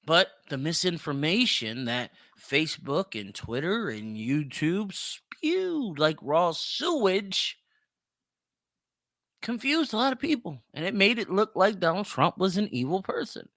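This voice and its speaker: Male podcast commentator, emphatic and opinionated, sharp bright tone, close dry sound. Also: high voice, big pitch swings, a little slow, dry recording. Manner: emphatic, repeats phrases for stress. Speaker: podcast host.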